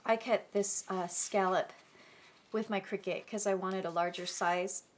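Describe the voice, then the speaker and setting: A woman's voice: mid-range voice, fairly even intonation, unhurried, a little hiss or hum. Chatty woman with a stuffy head cold. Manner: chatty, self-correcting, clears throat often. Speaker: card-making vlogger. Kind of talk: casual crafting tutorial.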